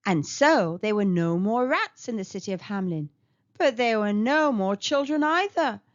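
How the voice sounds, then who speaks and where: A woman reading a children's story aloud in an expressive storytelling manner. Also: medium pitch, normal speed. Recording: dull lo-fi recording.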